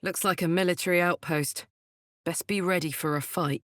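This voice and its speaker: A woman's voice: on the low side, fairly even intonation, normal speed, dry recording, clean studio sound, natural voice. An actress voicing a brash, big-hearted tiefling warrior woman in a video game, talking bluntly like a battle-hardened soldier with her feelings in the open. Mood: casual, ready. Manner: blunt, informal, emotionally open soldier's talk. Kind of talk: video game character voice acting.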